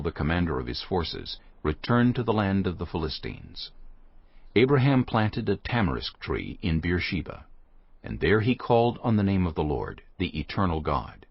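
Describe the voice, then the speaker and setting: A man narrating a dramatized Bible as a scripted story, solemn and clearly phrased. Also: sits low, lo-fi sound.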